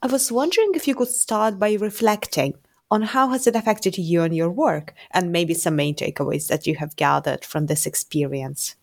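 A woman's voice: lowish voice, moderate pace, vocal fry. A woman speaking as an interview host, putting questions to a guest.

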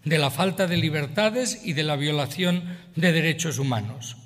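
Man speaking in a reverberant hall. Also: on the high side. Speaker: government official giving a tribute speech. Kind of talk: formal ceremonial speech, read out. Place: large indoor hall.

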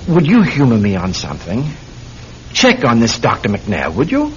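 Casting: eager young amateur sleuth, hugely expressive swooping delivery, vintage radio play, muffled with slight noise. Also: male voice, normal speed. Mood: coaxing. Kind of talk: classic radio drama acting. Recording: dull, rumbling, noisy old radio recording.

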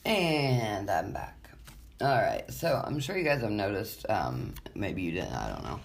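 A woman hosting her own podcast in casual, rambling chat, her voice raspy and wheezy from allergies, swearing freely. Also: rumbling low, sing-song, unhurried. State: congested from allergies. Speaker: podcast host.

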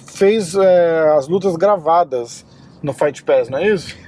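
A man hosting a casual interview while driving, eager and quick to chime in. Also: on the high side, darkish tone. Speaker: podcast host. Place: car driving along a beach street.

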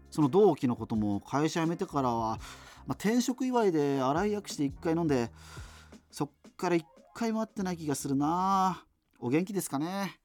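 An actor playing a wry, self-deprecating radio host in a doomed future, reminiscing in a digressive, hesitant conversational monologue. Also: male voice, medium pitch, a little mellow, natural voice. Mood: reflective, wry.

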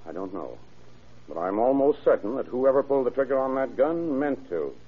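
Hard-boiled skeptical cop, old radio crime show, talks fast, kinda husky, muffled audio. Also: male voice, medium pitch, sing-song. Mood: suspicious, brisk. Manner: clipped, hard-boiled, methodical. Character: shrewd, skeptical police detective lieutenant. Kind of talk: vintage radio crime drama acting.

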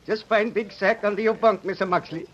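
An actor playing a meek, apologetic ranch cook who speaks clipped broken English in an old radio western. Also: male voice, high voice, steady tone, brisk, a bit bright. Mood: meek, helpful. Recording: muffled old radio recording.

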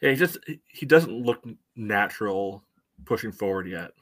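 Male co-host with a lowish voice, dry recording, conversational soccer breakdown. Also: animated, normal speed, a bit bright, no room sound. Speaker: sports podcast co-host. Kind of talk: casual soccer match analysis chat.

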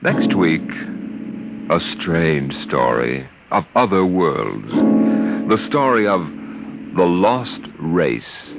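A male narrator with an extremely deep voice, solemn and ominous in vintage radio fashion. He speaks slowly over loud, swelling music. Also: sing-song, creaky, poor audio. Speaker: host and narrator. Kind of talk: dramatic 1950s radio narration. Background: loud dramatic music.

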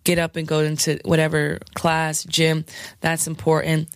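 A woman DJ with a scratchy, hoarse voice, chatting frankly in an interview with lots of fillers and laughs. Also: sits low, monotone, moderate pace, dry recording, studio quality. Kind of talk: candid casual interview talk.

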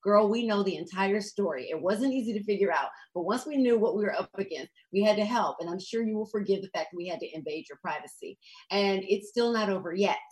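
A woman's voice: mid-range voice, fast, darkish tone, close to an everyday voice, low-quality recording. A woman playing an earnest, caring friend who takes charge, in an amateur comedy script reading. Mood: reassuring.

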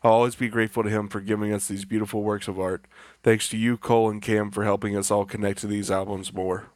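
A man's voice: lowish voice, flat delivery, fast talker, no room sound. A man from South Carolina reading an earnest, grateful listener message to a podcast.

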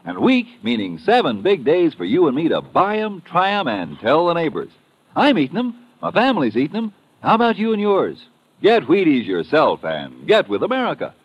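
A man delivering a folksy, emphatic sales pitch in an old radio commercial. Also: wildly swooping pitch, moderate pace, husky. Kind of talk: 1950s radio advertisement. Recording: dull old radio recording.

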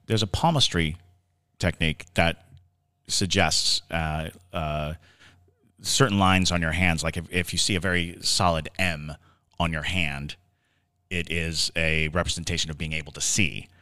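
A man co-hosting a podcast, telling stories and explaining in a casual but halting, deliberate way with drawn-out words. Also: low-pitched, steady tone, pushed and bright, no room sound, studio quality. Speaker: podcast co-host. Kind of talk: casual discussion and storytelling.

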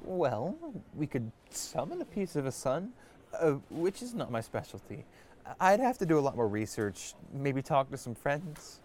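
A man's voice: on the high side, very animated, measured pace, a little mellow, a little hiss or hum. A man playing an earnest, hesitant young wizard scholar explaining things in a comedic fantasy audio drama. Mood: tentative.

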